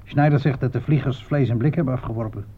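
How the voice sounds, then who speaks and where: An actor playing an easygoing soldier in a radio play, chummy and matter-of-fact. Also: male voice, mid-range voice, quick pace, breathy edge, lightly acted. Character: easygoing male soldier playing cards in a dugout. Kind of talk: radio drama dialogue. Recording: tinny, hissy old radio recording.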